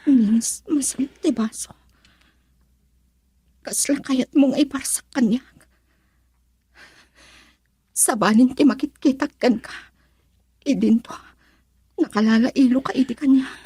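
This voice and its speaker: An actress playing a troubled, remorseful young wife in an Ilocano radio drama, with emotional, melodramatic delivery. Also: female voice, high voice, slow, long pauses, warm tone, husky, no room sound, studio quality, exaggerated voice. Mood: remorseful, hurt.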